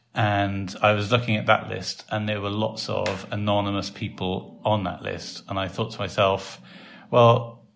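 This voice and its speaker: Deep-voiced Welsh man, rambling chat. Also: gravelly. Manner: relaxed and rambling, with many fillers. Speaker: podcast host and site developer. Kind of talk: casual podcast chat.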